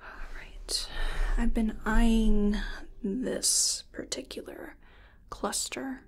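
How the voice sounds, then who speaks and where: A woman speaking softly and often in a whisper, gently guiding the listener through an ASMR personal attention roleplay as an energy healer. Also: fairly high pitch, fairly even intonation. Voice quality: soft, breathy, often whispering. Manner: gentle, unhurried, reassuring. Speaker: ASMR performer playing an energy healing practitioner.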